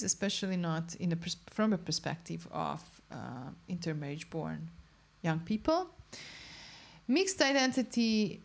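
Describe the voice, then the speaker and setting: A young woman with a low voice roughened by vocal fry answers interview questions about her research. She explains carefully and often pauses to search for the right word.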